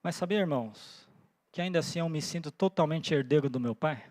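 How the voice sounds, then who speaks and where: A pastor in his forties, born in Japan and raised in Brazil, preaching in an earnest way that pauses often for "né?" and thinks aloud. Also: a little high, a little mellow, no room sound, studio quality. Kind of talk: sermon. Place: church.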